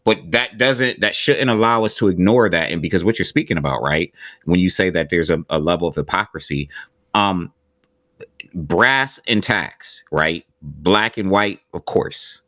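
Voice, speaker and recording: Very deep male co-host, deliberate and methodical, dry close sound. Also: bright, forceful tone, dry recording. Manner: measured and deliberate, builds his argument point by point. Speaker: podcast co-host. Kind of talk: casual podcast discussion.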